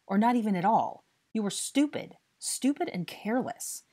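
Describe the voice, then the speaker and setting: Woman playing a stern, exasperated young male investigator, husky. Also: on the low side, expressive, moderate pace. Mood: heated, berating. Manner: forceful, exasperated, interrogating.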